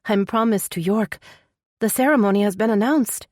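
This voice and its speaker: A woman voicing a tearful young supernatural princess in a romance audiobook, soft and shaky, pleading sorrowfully yet resolute. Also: moderate pace, no room sound, clean studio sound, lightly acted. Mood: desperate, pleading. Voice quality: soft, shaky, higher-pitched voice. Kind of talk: dramatic romance audiobook dialogue.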